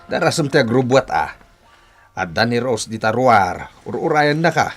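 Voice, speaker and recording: Animated, prodding middle-aged Ilocano father. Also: mid-range voice, a little slow, faint music behind. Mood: genial. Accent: Filipino, Ilocano. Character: nosy, prodding father pushing a young man to court. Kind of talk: Philippine radio drama acting.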